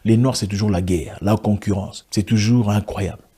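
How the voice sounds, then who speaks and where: Man ranting into his camera, french isnt his first language, husky, lots of questions and restarts. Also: fairly low pitch, steady tone, moderate pace, warm tone. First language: not French; an African language. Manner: emphatic, rhetorical questions, frequent restarts. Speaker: online video commentator. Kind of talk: impassioned monologue to camera.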